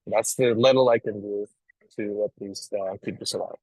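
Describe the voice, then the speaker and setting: Husky earnest man from Afghanistan. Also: on the low side, steady tone, a little mellow, dry recording, poor audio. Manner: earnest, halting, many restarts. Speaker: astronomy outreach guest. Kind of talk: live online panel talk. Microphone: video call.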